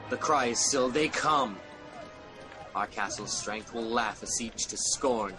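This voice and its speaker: An actor playing an embittered, defiant tyrant king in a Shakespearean audio drama, declaiming between hushed brooding and roars. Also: medium pitch, slow, over music, natural voice. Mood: defiant, commanding.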